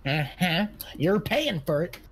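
A man's voice: high-pitched, very animated, takes it slowly, a little hiss or hum, exaggerated voice. A man playing a bumbling, sneering country sheriff who stammers and repeats his words in a comedy game roleplay. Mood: sneering, smug.

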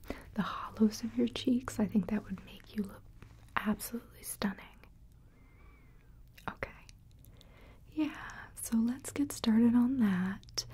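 Female ASMR hair stylist: close, breathy whisper, mellow tone, reassuring small talk, slight background noise. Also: mid-range voice. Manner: gentle, reassuring, chatty with the client. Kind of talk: whispered ASMR salon roleplay. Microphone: close ASMR microphone. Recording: faint noise, small mic bumps and clicks.

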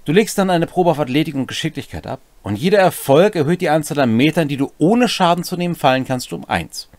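A man's voice: on the high side, lively intonation, fast, a little mellow, dry recording. A middle-aged man co-hosting a casual explanatory chat about tabletop game rules. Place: small cluttered home room.

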